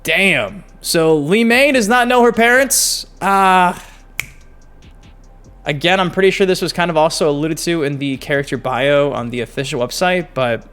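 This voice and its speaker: A man's voice: fairly high pitch, moderate pace, fairly bright tone, faint music behind. A man hosting a gaming video, talking through game news in a casual, slangy, enthusiastic fan style.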